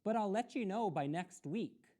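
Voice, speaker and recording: A woman's voice: medium pitch, expressive, normal speed, dark tone, voice pushed up, lightly acted. A woman voicing a shy, anxious young schoolgirl who speaks hesitantly in a storybook reading. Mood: nervous, hesitant. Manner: hesitant, stammering.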